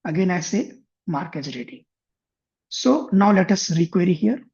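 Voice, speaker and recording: Man, husky voice, software tutorial, thinking aloud, muffled mic. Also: sits high, expressive, normal speed. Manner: thinks aloud with restarts while clicking through screens. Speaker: software instructor. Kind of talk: screen-share software tutorial.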